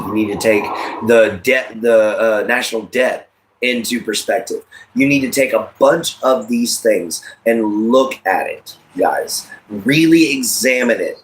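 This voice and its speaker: Livestream host: American male, late 30s, fairly low voice, casual and opinionated, circles back over points while addressing the audience. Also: slight background noise, rough recording. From United States. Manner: addresses listeners directly, rambling and repetitive.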